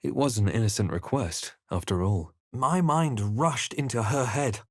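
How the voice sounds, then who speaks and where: An actor playing a grave, measured fantasy adventurer in a video game, narrating with solemn, deliberate theatricality. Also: male voice, on the low side, animated, no room sound, clean studio sound. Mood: solemn, reflective. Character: measured, grave male fantasy adventurer recalling his journey. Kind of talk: scripted game narration and character lines.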